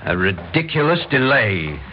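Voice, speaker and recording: Bossy vigilante major, radio play: brusque, impatient, lively intonation, dull noisy old recording. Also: male voice, fairly low pitch, normal speed. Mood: irritated, impatient. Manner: brusque, commanding, self-righteous. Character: impatient, domineering military major heading vigilante committee. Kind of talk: old-time radio drama acting.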